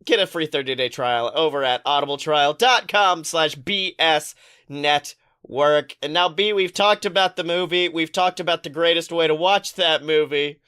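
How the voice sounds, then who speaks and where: A woman's voice: low voice, moderate pace, fairly bright tone, clean tone. A woman co-hosting a podcast in casual, slangy chat, reacting readily to a friend. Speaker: podcast co-host.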